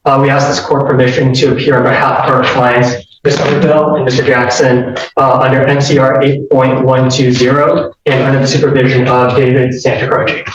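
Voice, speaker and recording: A man's voice: mid-range voice, flat delivery, brisk, hardly any pauses, a little hiss or hum. Polite young man in an echoey courtroom. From Boston area. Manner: polite, formal answers. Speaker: student attorney. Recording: echoey courtroom from far off, dull.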